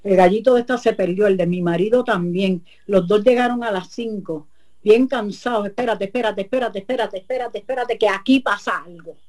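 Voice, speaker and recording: A woman's voice: mid-range voice, brisk, a little mellow, close to an everyday voice. A woman voicing a suspicious betrayed country wife with a Puerto Rican accent, fussing and muttering to herself.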